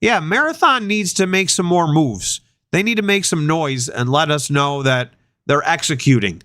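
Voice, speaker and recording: A man's voice: a little high, expressive, normal speed, bright, forceful tone, no room sound, studio quality. Man with a stuffy sinus voice. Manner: rambling, repeats words while thinking aloud. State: congested from a sinus infection. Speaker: host of investing show. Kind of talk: casual investing chat.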